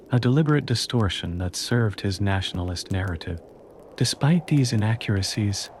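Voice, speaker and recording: A man reading a calm, scripted history narration meant for sleep, in a slow and measured way. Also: lowish voice, a little hiss or hum.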